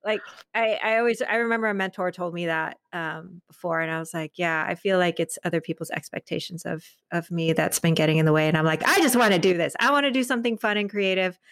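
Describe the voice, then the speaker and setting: A woman's voice: fairly low pitch, fast, hardly any pauses, fairly bright tone, dry recording. A woman chatting casually as a podcast guest, informal, candid and enthusiastic. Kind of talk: casual conversational interview chat.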